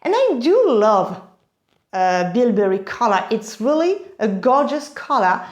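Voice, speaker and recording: Female vlogger, French accent, high voice, slow delivery, chatty and enthusiastic, recorded in an echoey room. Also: big pitch swings. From France. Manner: chatty, enthusiastic, addresses viewers directly. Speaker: video host, handbag collector. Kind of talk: casual unboxing vlog.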